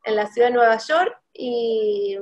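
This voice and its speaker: A woman's voice: a little high, measured pace, soft background music. A woman in her thirties hosting a promotional video call, bubbly and upbeat. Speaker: wedding planner host. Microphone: video call webcam.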